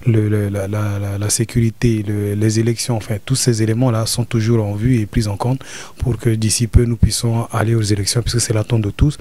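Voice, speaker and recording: A man from the Central African Republic giving a formal, halting answer full of fillers. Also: on the low side, flat delivery, warm tone. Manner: halting, many "euh" fillers, measured. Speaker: official speaking on the country's situation. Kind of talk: formal address with hesitations.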